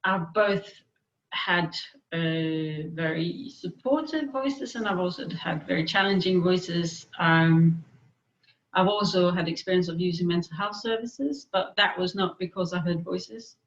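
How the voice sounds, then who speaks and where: A woman from Denmark with a Danish accent, answering interview questions in a thoughtful way with frequent pauses and fillers. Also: lowish voice, highs cut off. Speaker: guest, peer expert on hearing voices.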